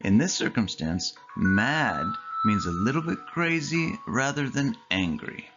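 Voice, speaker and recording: Read-aloud narrator for children: measured, deliberate phrasing, mid-pitched, clear music bed, lo-fi sound. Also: very animated. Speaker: storyteller. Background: clear background music.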